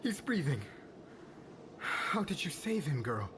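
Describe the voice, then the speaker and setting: A man's voice: a little high, sing-song, slow pace. Casting: male actor as humble village father, earnest and anxious, fantasy audio roleplay with noisy ambience behind. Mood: desperate pleading. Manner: pleading, earnest. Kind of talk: ASMR roleplay acting. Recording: clearly noisy ambient background.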